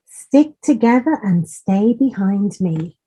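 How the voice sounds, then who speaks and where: A motherly duck from a children's storybook, acted out by a woman, proud of her brood and instructing them. The voice is very slow and deliberate, mellow and a little husky. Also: medium pitch, expressive, rough recording.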